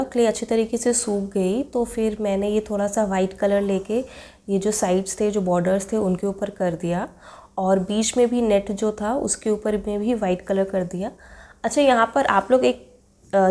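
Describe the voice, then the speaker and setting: A young woman with a cold, her throat hoarse, chattily explaining a craft project step by step in a voice-over. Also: medium pitch, dark tone. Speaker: craft video maker.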